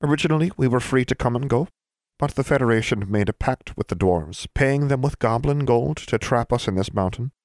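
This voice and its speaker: A man's voice: medium pitch, fairly quick, a little mellow, dry recording, studio quality, voice pushed up, lightly acted. A man voicing a crafty, proud old male hill elf in an audiobook, with formal, old-fashioned phrasing. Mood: formal, wary. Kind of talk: audiobook character voice.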